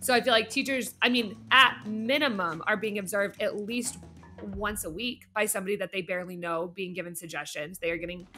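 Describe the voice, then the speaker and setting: A woman's voice: medium pitch, fairly quick, bright, forceful tone, soft background music. A woman co-hosting a casual discussion, talking emphatically and repeating phrases to make her point. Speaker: podcast co-host, behavior analyst.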